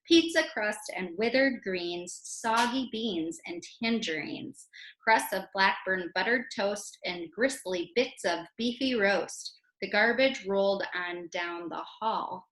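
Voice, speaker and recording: An American woman reading poems aloud to children in an expressive way, speaking directly to her young listeners. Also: fairly high pitch. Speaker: children's poem reader and teacher. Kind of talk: animated read-aloud for children. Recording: roomy, echoey sound.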